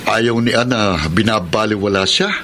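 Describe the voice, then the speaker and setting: A level-headed office buddy giving careful advice. His voice is somewhat low, with a Manila accent. It is radio drama acting, with a light layer of ambient noise behind. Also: steady tone, moderate pace, pushed and bright. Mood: advising, cautioning. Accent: Filipino, Manila Tagalog. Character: cautioning, practical male office friend. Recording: faint noisy ambience.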